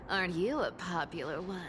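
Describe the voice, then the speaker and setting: A woman voicing a sly young trickster demigod in a video game, teasing and giggly in a theatrical way. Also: fairly low pitch. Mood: playful, coy. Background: background music.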